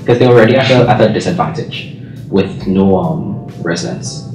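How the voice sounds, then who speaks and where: Low-voiced young man, thoughtful chat. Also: normal speed, darkish tone, recorded from a distance. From Trinidad and Tobago. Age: young adult. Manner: thoughtful, checks in with "right?". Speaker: university student. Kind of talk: casual conversation with a stranger. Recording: roomy studio, a bit off-mic, with music.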